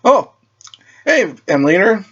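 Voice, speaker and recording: A man's voice: high voice, big pitch swings, takes it slowly, fairly bright tone, breathy edge, dull audio, pitched above the performer's own voice, exaggerated voice. A man voicing a casual, matter-of-fact metal-armored robot hero in a superhero story. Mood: friendly, matter-of-fact.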